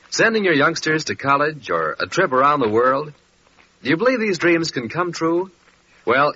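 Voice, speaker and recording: A man's voice: medium pitch, sharp tone. A man delivering a polished, persuasive announcement in 1940s radio style. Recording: muffled, faintly noisy 1940s radio.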